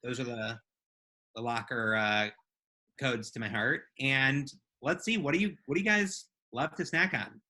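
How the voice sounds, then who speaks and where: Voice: medium pitch, unhurried, bright, forceful tone. A podcast co-host chatting casually and playfully with listeners as friends on a live show.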